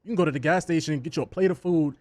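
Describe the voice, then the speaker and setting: A man co-hosting a sports radio show, talking in a relaxed, colloquial way and chiming in with agreement. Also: on the high side, very fast, dry recording. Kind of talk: casual sports talk chat.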